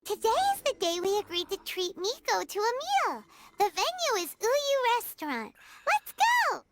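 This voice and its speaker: A woman voicing a tiny, childlike, excitable female fairy companion in a video game, squeaky and exclamatory, easily outraged. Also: moderate pace, background noise, exaggerated voice. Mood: cheerful, curious. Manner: refers to self in third person, exclamatory. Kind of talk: video game character acting. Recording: music behind the voice.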